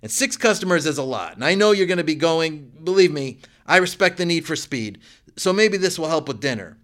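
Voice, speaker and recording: A man's voice: fairly high pitch, big pitch swings, brisk, a bit bright, pitched above the performer's own voice. A man voicing a lonely, fussy older man who is eager to please. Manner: eager, apologetic.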